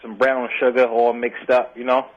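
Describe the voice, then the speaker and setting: A man's voice: medium pitch, flat delivery, moderate pace. A man calling in to a radio talk show, chatting casually in a jokey, laid-back way and laughing often. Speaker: caller. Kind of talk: casual phone-in chat. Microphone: phone. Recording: dull phone line.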